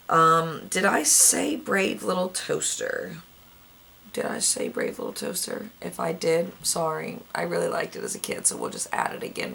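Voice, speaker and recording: A young woman with a raspy voice, chatting casually and gushing about her favorite movies, thinking aloud as she goes. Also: low-pitched, pushed and bright. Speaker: vlogger.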